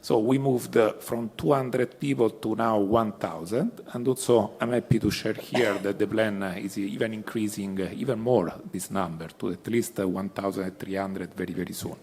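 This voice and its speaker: A man's voice: fairly low pitch, steady tone, moderate pace, few pauses, a little mellow. Italian-accented man speaking on a panel. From Italy. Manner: hesitant, many fillers, non-native phrasing. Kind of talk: panel discussion. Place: club event hall.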